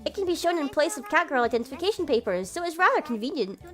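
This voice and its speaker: A woman's voice: sits high, very animated, fast talker, fairly bright tone, faint music behind. A woman voicing a visual novel's prim, doting younger sister, proud of her charges, with a polite, giggly, instructive way of talking. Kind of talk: visual novel character read aloud.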